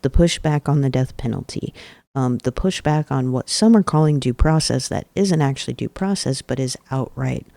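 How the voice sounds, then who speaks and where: A man hosting an advocacy podcast, speaking earnestly and emphatically with deliberate repetition, his voice a little off today. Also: medium pitch, creaky, warm tone, no echo. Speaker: podcast host. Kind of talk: advocacy commentary.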